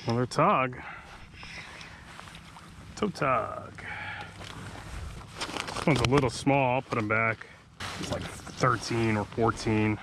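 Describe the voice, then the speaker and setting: A man's voice: mid-range voice, steady tone, slow, pauses often, background noise. A man in his thirties giving a casual running commentary while fishing alone from a kayak, talking to himself between casts, recorded on an action camera mounted on the boat. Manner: laid-back, talks to himself between casts. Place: kayak on calm open sea, outdoors.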